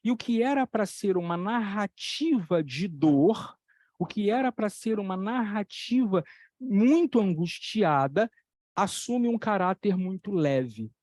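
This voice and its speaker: A man talking as a psychoanalytic lecturer, telling stories with lively examples and repeating key phrases for emphasis. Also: high-pitched, slow pace, no room sound, studio quality. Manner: animated storytelling, acting out voices in the examples. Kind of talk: explanatory talk on a video channel.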